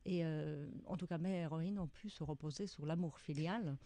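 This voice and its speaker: Mellow-voiced Czech woman, reflective author. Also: low-pitched, normal speed. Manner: reflective, searching for words. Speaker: author guest. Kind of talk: radio interview.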